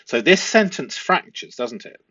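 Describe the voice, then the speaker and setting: A man explaining a transcript analysis as a host, speaking deliberately and posing rhetorical questions. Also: on the high side, sing-song, sharp tone, slightly rough, dry recording, lo-fi sound. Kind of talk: explanatory analysis of a transcript, part read aloud.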